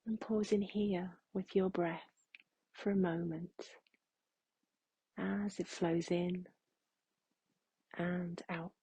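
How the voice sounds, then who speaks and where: A woman leading a guided meditation in a soft, hushed, breathy voice, gently and with long unhurried pauses. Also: fairly low pitch, little pitch movement, no room sound.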